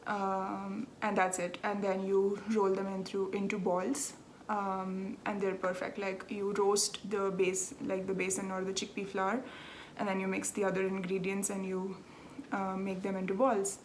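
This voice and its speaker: A young Indian woman hosting a podcast in relaxed chat, explaining patiently and often laughing at herself. Her pitch stays fairly even and level, with a faint hum of ambience behind her. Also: unhurried. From South India, Andhra Pradesh. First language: Telugu. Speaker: podcast host. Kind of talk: casual chat.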